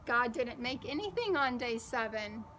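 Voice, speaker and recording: A woman's voice: fairly high pitch, animated, normal speed, pushed and bright, a little hiss or hum. A woman voicing a patient, playful girl who explains things to her younger cousin in a children's storybook. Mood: patient, explaining.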